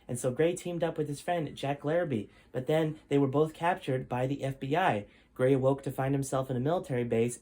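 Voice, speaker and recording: A man's voice: mid-range voice, a little mellow. Man hosting a storytime reading. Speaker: host and author reading own book. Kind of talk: storytime narration.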